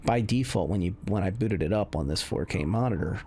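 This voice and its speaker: A man's voice: deep voice, lively intonation, a little fast, mellow, husky. A man giving casual, unscripted commentary as a game reviewer, explaining things in a meandering, hesitant way. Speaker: video game reviewer running benchmarks. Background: music under the voice.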